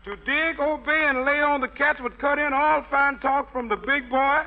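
High-pitched jive-talking soldier. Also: male voice, fairly even intonation, normal speed, narrow, tinny audio. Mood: playful, cocky. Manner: hep slang, playful patter. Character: jive-talking wisecracking soldier reciting garbled orders. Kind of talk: 1940s radio variety comedy. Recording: scratchy, noisy old radio broadcast.

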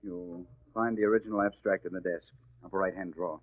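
An actor playing a nervous, cornered crooked lawyer in a 1940s radio drama, defensive and jittery. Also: male voice, low-pitched, steady tone, fast talker, noisy background, lightly acted. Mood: nervous, evasive. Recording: muffled, narrow-band old radio broadcast.

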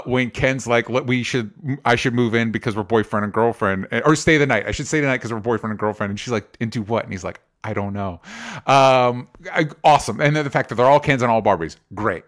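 A man's voice: medium pitch, fairly bright tone. Man chatting casually about films, rambling. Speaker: podcast co-host. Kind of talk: casual film discussion chat.

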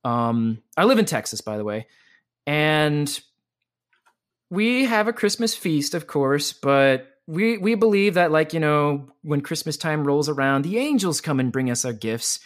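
A man's voice: on the high side, unhurried. A man who works as a voice actor and voice teacher, talking energetically in a casual interview with many restarts. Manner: energetic and animated, with frequent restarts.

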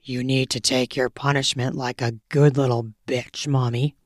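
A woman voicing a domineering, possessive young man, speaking in a low, murmured, commanding way. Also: little pitch movement, normal speed, no room sound, clean studio sound. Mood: stern.

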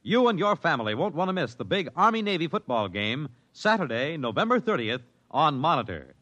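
A man's voice: on the high side, big pitch swings, brisk, fairly bright tone, dull audio. An American man announcing in dramatic 1950s radio style, emphatic and declamatory.

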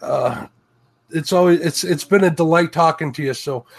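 A man's voice: a little high, moderate pace. A man from Chicago with a Chicago accent, hosting a fishing livestream in a rambling, self-correcting chat.

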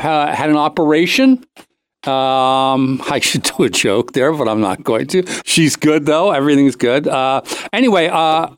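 A man's voice: fairly high pitch, no room sound. A man hosting a talk show, telling a comic anecdote in a casual, rambling way, cutting himself off and acting out the dialogue. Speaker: show host.

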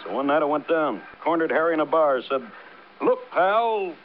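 A man's voice: fairly high pitch, animated, normal speed, natural voice. A tough, talkative American crew boss acted by a man in a classic radio drama. He talks in breezy, clipped slang, over a muffled old recording with some background noise. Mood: confiding. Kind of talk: 1950s radio drama acting.

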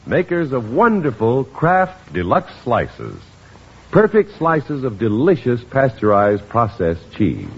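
A man's voice: medium pitch, slightly rough. A man announcing and narrating in polished, emphatic 1950s radio style, reading from a script. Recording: muffled old radio recording.

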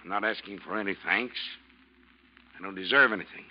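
An actor playing a brooding, imperious sea captain with clipped, stiff authority in old-time radio drama style. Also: male voice, low-pitched, brisk. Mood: guarded, contrite. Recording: muffled old radio recording.

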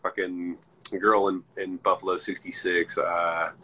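A man's voice: low-pitched, flat delivery, measured pace, bright, forceful tone, slight background noise, rough recording. A man in his forties hosting a casual podcast, rambling through anecdotes and swearing freely. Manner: rambling, profanity-laced storytelling. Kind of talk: casual chat.

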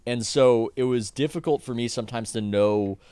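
A man's voice: medium pitch, moderate pace, warm tone, clean tone, dry recording. A man, an author guest on a podcast, talking casually in a rambling way with many restarts and self-corrections.

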